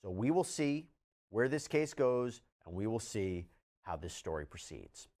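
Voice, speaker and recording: A man's voice: lowish voice, very animated, unhurried, a little mellow. A man presenting legal news commentary as a show host, conversational and emphatic, speaking straight to the viewer.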